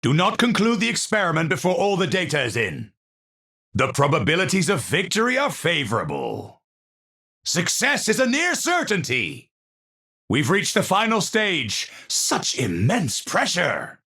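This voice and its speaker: An actor playing an eccentric, troubled genius physicist in a video game, a breathy voice and formal, precise, theatrical speech. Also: male voice, high voice, wildly swooping pitch, unhurried, sharp tone, exaggerated voice. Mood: brooding, intense. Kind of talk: video game character voice lines.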